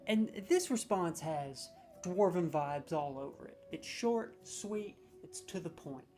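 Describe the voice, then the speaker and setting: A man rants as a comedic judge, scoring video game voice lines with profanity, mock-serious verdicts and excited stammering restarts. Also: a little high, slow pace, faint music behind. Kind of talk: comedic ranking rant.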